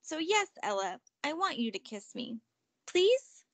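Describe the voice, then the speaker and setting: A woman's voice: sits high, sing-song, measured pace, no room sound, voice pushed up, exaggerated voice. A woman voicing a young frog prince, haughty and insistent, in a storybook reading. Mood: earnest, pleading. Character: princely young male frog, pompous and pleading.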